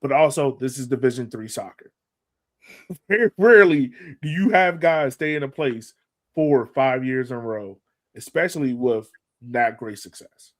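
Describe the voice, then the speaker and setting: A man's voice: mid-range voice, moderate pace. A man co-hosting a soccer podcast, breaking things down casually in colloquial language and repeating words for emphasis. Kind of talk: casual sports analysis.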